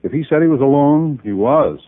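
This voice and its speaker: A man's voice: fairly high pitch, animated, brisk, close to an everyday voice. An actor playing a proper, defensive businessman who is easily affronted, in formal old-time radio style. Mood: earnest, insistent. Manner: formal, indignant. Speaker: supporting actor in a radio drama. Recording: tinny, band-limited old radio recording.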